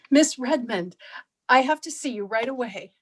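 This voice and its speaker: A woman's voice: on the high side, animated, slow, a little mellow, slightly rough, exaggerated voice, poor audio. A woman playing a naive, anxious suburban housewife, hesitant and stammering, in a staged play reading over a video call.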